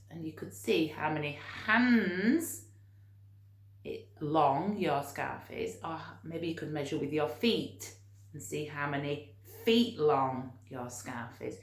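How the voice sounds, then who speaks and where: A woman teacher reading and talking to young children in an expressive, encouraging way. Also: sits low. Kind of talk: storytime read-aloud and teaching for young children. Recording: roomy, echoing home recording.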